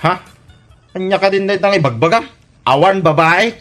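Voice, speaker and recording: A man's voice: high voice, normal speed, a bit bright, soft background music. A man playing a boastful, defensive philandering husband in an Ilocano radio comedy drama, exclaiming and protesting dismissively.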